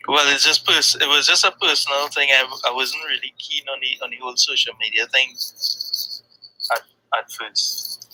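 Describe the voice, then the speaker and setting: A man with a Trinidadian accent speaks in a very bright, forward tone. He talks haltingly and fills his pauses with ums while he searches for words. His pitch sits at an ordinary middle height. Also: fairly even intonation, rough recording. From Trinidad and Tobago. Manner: hesitant, halting, with many fillers. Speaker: guest, freelance graphic designer. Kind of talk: casual conversation.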